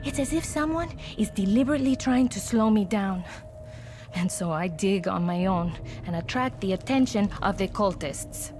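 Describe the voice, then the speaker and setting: A woman's voice: mid-range voice, lively intonation, normal speed, natural voice. A woman playing an embattled, distrustful field operative in a video game, with a Spanish accent and an earnest, weary, dramatic delivery. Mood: frustrated. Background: music.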